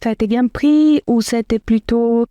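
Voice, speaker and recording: A woman hosting a podcast interview, asking earnest questions with some filler pauses. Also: a little high, dark tone, no echo, lo-fi sound. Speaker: podcast host.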